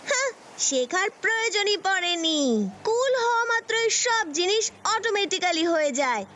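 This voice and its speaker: A performer voicing an excitable, boastful cartoon child in a dubbed children's show, over noisy effects. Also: extremely high pitch, normal speed, heavily acted. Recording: loud background noise and effects.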